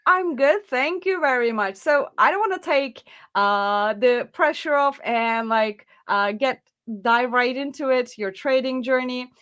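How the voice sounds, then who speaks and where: A woman's voice: high-pitched, a little slow, a bit bright, clear, resonant tone. A woman hosting an interview, friendly and chatty, laughing often and using many fillers. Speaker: interview host. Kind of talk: casual interview.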